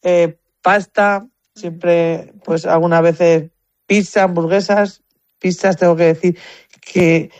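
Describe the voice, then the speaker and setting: A woman's voice: lowish voice, fairly even intonation, slow, a little mellow, no echo. A woman with a Spanish accent from Spain, talking casually and anecdotally as an interview guest, often repeating words as she thinks. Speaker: competitive shooter, interview guest. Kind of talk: casual conversational interview answers.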